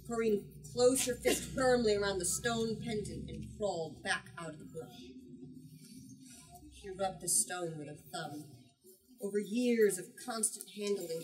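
A young woman leads a book talk, telling and reading a story to children. Her delivery is expressive and emphatic with a medium pitch, picked up from a short distance indoors. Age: young adult. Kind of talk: storytelling and read-aloud to children. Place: small bookstore, indoors. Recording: distant from the microphone.

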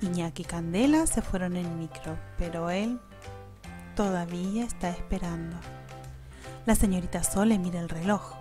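A woman with an Argentine accent narrating a children's story in an expressive storytelling style. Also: on the low side, moderate pace, soft background music.

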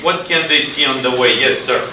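A middle-aged man teaching English to children in a classroom, using simple deliberate phrases, repeated prompts and praise. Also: mid-range voice. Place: classroom, indoors. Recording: echoey, noisy classroom from far off.

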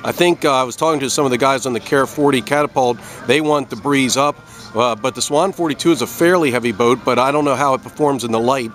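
A man's voice: medium pitch, quick pace, fairly bright tone, faint music behind. A man working as an interviewer, asking conversational questions outdoors. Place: on a boat in a marina, outdoors.

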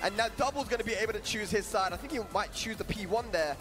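A man commentating a fighting-game tournament, excitable and shouting at big moments, his voice worn from the weekend. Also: sits high, fast talker, music in the background. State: losing his voice. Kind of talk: esports play-by-play commentary.

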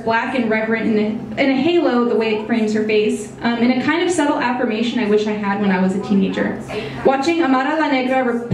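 A young woman reading her personal essay aloud into a stand mic at a small indoor venue, in a measured, reflective way. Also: fairly high pitch, far from the mic. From United States. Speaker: essay reader. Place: small brick-walled bar venue, indoors. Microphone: stage mic on a stand. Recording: very echoey room, voice a bit off-mic.

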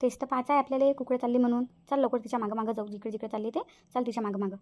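A woman's voice: on the high side, level tone, racing, darkish tone, a little hiss or hum, close to an everyday voice. A woman voicing a bossy, nosy village woman who plays detective in a cartoon, urgent and commanding, with a Varhadi Marathi accent. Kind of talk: cartoon character dialogue.

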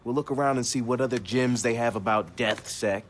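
An actor playing an upbeat young hacker in a game cutscene, speaking casual, slangy American English. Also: male voice, mid-range voice, a little fast, a little hiss or hum, lightly acted. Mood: eager. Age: young adult. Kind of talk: video game cutscene acting.

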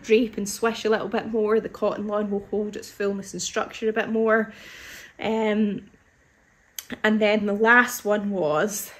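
A woman in her thirties chats her way through viewer questions on a live stream, thinking aloud with frequent ums and half-finished starts. Her voice is slightly hoarse from an oncoming cold. She sits at a desk in a fabric shop, picked up by a nearby phone or webcam mic. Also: medium pitch. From United Kingdom. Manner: chatty, thinks aloud with many ums and restarts. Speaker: fabric shop owner hosting a live sewing Q&A. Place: fabric shop floor with fabric bolts and dress forms, indoors. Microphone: phone or webcam at desk distance.

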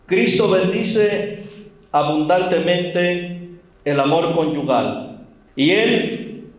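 Slow-spoken priest in an echoey church. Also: male voice, a little high, recorded from a distance. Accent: Mexican Spanish. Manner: slow and deliberate, gently scolding asides. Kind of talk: wedding homily.

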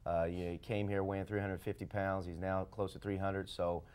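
A middle-aged man, a basketball coach, answering interview questions in a TV studio with measured speech, frequent fillers and restarts. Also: low-pitched, level tone, a little mellow, smooth. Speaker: head basketball coach, show guest. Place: TV studio set. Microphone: lapel mic.

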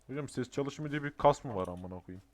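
A man's voice: mid-range voice, expressive, a little fast. A man chatting casually in an online roleplay game, making crude mock threats with plenty of swearing.

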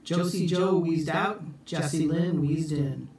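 A middle-aged man reads a children's picture book aloud in an expressive, theatrical, deliberate storytime manner. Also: on the high side. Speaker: storytime reader for schoolchildren. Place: small room at home, indoors. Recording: echoey room, distant phone mic.

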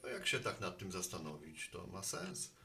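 A genial postman with a homespun philosophical streak, played by an actor in an audio drama. His voice is lowish yet forward and bright, with a colloquial, thoughtful delivery. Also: very animated, normal speed, creaky, soft background music, heavily acted. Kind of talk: Polish audio drama dialogue.

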